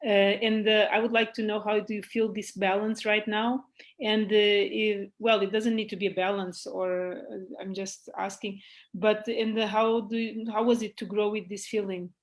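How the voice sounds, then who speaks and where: A woman's voice: medium pitch, little pitch movement, moderate pace. A woman interviewer speaking conversationally, with careful, tentative phrasing that she often corrects as she goes.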